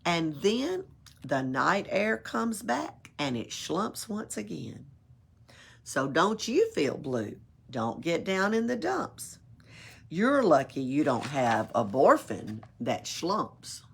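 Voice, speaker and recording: A woman's voice: sits low, slow pace, vocal fry, slightly rough. A woman reading a rhyming picture book aloud at a library storytime, playful, with little asides of her own. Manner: expressive, playful, adds asides and chuckles.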